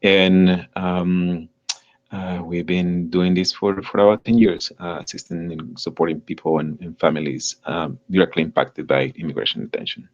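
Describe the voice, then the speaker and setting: Very deep-voiced man from El Salvador. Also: fairly even intonation, a little mellow, dull audio. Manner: halting, many fillers and repeated words. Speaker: guest, nonprofit director. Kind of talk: live podcast interview.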